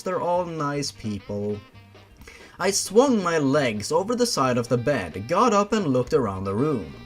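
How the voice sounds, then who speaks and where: A man narrating a story in a theatrical, dramatic way, with sarcastic asides. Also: on the high side.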